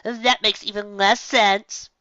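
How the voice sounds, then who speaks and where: A scornful female sea creature, put on by a woman reading a story, drawls every line with slow sarcasm. The voice keeps a bright, tense edge. The sound is dry and a little dull. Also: medium pitch, fairly even intonation, no room sound, voice pushed up. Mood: sarcastic.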